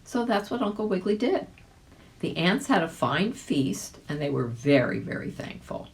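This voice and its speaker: A woman's voice: lowish voice, sing-song, normal speed, creaky. A woman reading a children's story aloud as a volunteer, chatting in casual asides and checking in with the listener.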